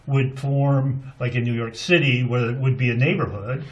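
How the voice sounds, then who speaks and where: A man's voice: medium pitch, fairly even intonation, moderate pace, highs cut off. A man hosting a community TV interview show, prompting and supporting his guest. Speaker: host. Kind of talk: casual interview chat.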